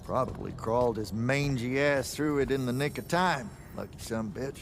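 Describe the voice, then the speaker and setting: A man's voice: mid-range voice, normal speed, background noise, close to an everyday voice. An actor playing a shrewd, salty veteran male mage who talks in wry, folksy slang in game cutscene style. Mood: wry, matter-of-fact. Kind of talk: video game cutscene acting.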